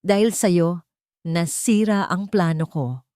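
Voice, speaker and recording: A woman voicing a scheming, furious younger Filipino woman villain who shouts accusations in a storybook drama. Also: on the low side, slow, dry recording, studio quality. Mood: raging.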